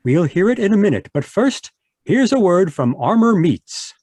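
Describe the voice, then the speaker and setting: A man plays an emphatic old-time radio mystery announcer. His pitch sits fairly high and moves in big, lively swings. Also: normal speed, dry recording, studio quality. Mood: emphatic, teasing. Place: live video call at home. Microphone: video call.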